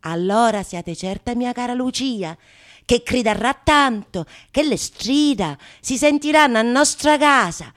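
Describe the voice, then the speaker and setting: A woman playing a fierce, lewd, vengeful wife in a Renaissance stage comedy, declaiming emphatically with drawn-out words and gleeful mock passion. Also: a little high, animated, a bit bright. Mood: gleeful fury. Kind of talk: theatrical monologue of Renaissance comedy.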